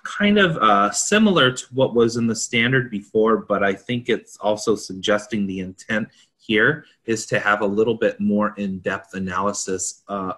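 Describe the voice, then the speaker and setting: A man with a fairly low-pitched but bright, forceful voice explains technical requirements as a consultant on a panel. His phrasing is informal and conversational, working through points as he discusses them. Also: normal speed.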